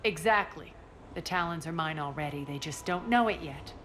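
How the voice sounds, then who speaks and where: An actress playing a ruthless, imperious alien crime boss in a video game, cynical and commanding, with clipped sardonic delivery. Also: female voice, low voice, moderate pace, noisy background, natural voice. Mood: scheming confidence.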